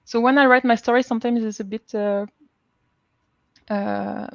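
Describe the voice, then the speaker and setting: Woman, French accent, halting, searching for words, creaky voice, informal panel talk, dull lo-fi sound. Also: a little high, steady tone, no echo. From France. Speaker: writer, panel guest.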